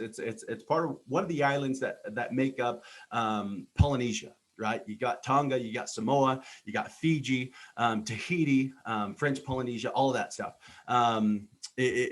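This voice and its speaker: A man in his thirties, from Texas, hosting a motivational podcast in an earnest, informal way with many filler ums and repeated words. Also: mid-range voice. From Texas, United States. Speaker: podcast host. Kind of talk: motivational personal monologue.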